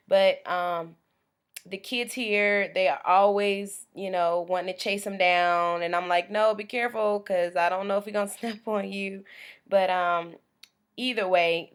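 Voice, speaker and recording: A young American woman, chatting casually into a webcam in a small room, rambling with frequent ums. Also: on the low side. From United States. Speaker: vlogger. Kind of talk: casual vlog monologue. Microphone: webcam at close range.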